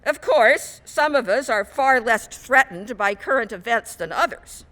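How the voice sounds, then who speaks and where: A woman delivers a sermon in a high, bright voice. Her pace is slow and deliberate, with measured phrases and clear emphasis on key words. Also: expressive. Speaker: guest minister preaching.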